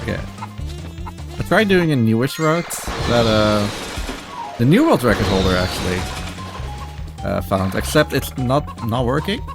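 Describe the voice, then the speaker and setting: A man's voice: medium pitch, animated. A man giving casual, rambling live gameplay commentary as a speedrunner, often interrupting himself. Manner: halting, rambling, self-interrupting. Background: game music.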